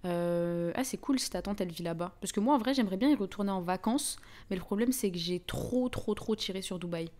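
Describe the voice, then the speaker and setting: A young woman with a Parisian French accent hosts a casual live chat. She talks in an informal, slangy, chatty way at a middling pitch, addressing her viewers directly. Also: a little mellow. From France (Paris). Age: young adult. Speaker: livestream host.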